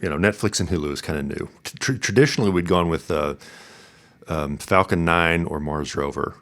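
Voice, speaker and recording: A man hosting a podcast in a casual, easygoing, somewhat halting way. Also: deep voice, a bit bright. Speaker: podcast host. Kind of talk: casual interview and sign-off.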